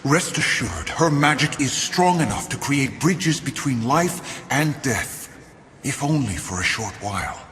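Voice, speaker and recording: A man's voice: mid-range voice, expressive, unhurried, a bit bright. An actor playing a mournful, pleading male spirit in a video game, beseeching in archaic phrases. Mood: coaxing, eerie. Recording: long ghostly reverb, faint noise.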